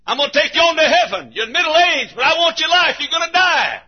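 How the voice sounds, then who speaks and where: An American man preaching a fervent revival sermon in a raspy, straining voice, impassioned and often shouting in a repetitive cadence. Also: sing-song, quick pace. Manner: impassioned, shouting, repetitive cadence, dramatic swings from low to loud. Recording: dull, muffled lo-fi sermon recording.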